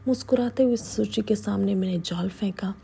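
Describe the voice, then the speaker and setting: A woman narrating a first-person story in a hushed, breathy whisper, confiding with her listeners. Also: medium pitch, brisk.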